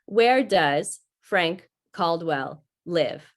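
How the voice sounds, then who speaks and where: A woman voicing a polite, impersonal computer in an old-time radio drama, speaking in a formal, measured way. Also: lowish voice, expressive, full character voice. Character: polite, impersonal talking computer giving prompts.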